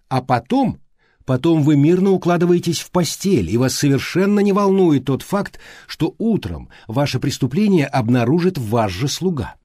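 A man voicing an animated, insistent older detective in an audiobook, reasoning aloud with emphatic questions. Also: fairly high pitch, no echo, clean studio sound, voice pushed up. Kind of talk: audiobook character dialogue.

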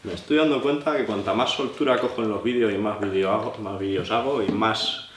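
A man's voice: lowish voice, brisk, slight background noise. A man with a La Mancha Spanish accent, explaining step by step how to sharpen a blade while he works at the stone. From Albacete, Spain. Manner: explanatory, practical, thinking aloud while working. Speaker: knife sharpening instructor. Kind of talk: hands-on tutorial demonstration. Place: indoor room at a table, working with a sharpening stone. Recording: roomy, distant sound with scraping of blade on stone.

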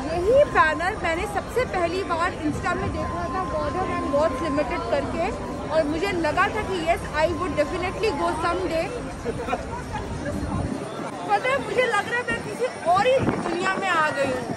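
Very high-pitched Indian woman vlogger. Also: slow pace, rough recording. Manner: enthusiastic, chatty. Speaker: travel vlogger. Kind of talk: casual vlog chat mixing Hindi and English. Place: outdoor city market street. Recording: music and bustling outdoor crowd behind.

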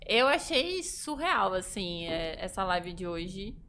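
A woman's voice: mid-range voice, very animated, slow pace, sharp tone, slight background noise. A woman co-hosting a podcast in a studio, reflecting in an upbeat, casual way. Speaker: co-host.

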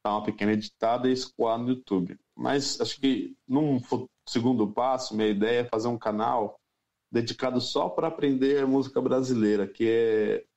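Brazilian music teacher, lo-fi audio. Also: male voice, medium pitch, fairly even intonation, a little mellow. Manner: thoughtful, unhurried, many fillers. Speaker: guest, music teacher and producer. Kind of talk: casual interview talk.

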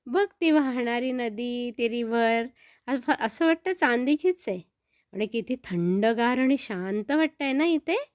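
A woman voicing an excited little boy, eager and full of childlike wonder, in a children's story. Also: fairly high pitch, a little slow, dry recording, clean studio sound. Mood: delighted wonder.

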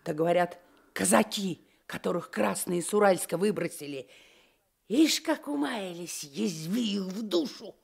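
Creaky, grumbling village landlady. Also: mid-range voice, animated, a little slow, a little mellow, full character voice. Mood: grumbling, irritated. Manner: colloquial, grumbling, curt. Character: gruff, grumbling village landlady, curt and sharp-tongued. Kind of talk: Soviet radio drama acting.

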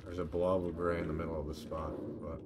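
A man's voice: sits low, level tone, fast talker. A man streaming a video game with casual, sweary commentary, reacting and thinking aloud. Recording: game ambience noise behind voice. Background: video game ambience.

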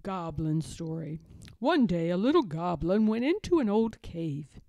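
A woman reads a children's picture book aloud with dramatic emphasis. Her voice is soft-edged and mellow, moving slowly with very lively intonation. The sound is close and dry. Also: on the low side, no room sound. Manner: dramatic, with suspenseful pauses and emphasis. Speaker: read-aloud storyteller.

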